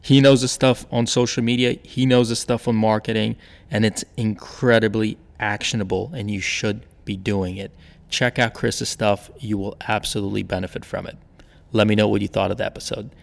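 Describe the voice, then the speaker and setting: A podcast host with a low, gravelly man's voice reads promos and announcements in a chatty, upbeat way. His voice carries a long reverb tail, and soft music plays underneath. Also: flat delivery, moderate pace.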